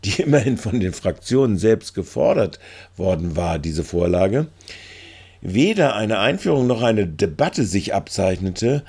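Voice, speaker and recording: Slow, low-voiced man reporting. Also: mellow, husky. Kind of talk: spoken political commentary.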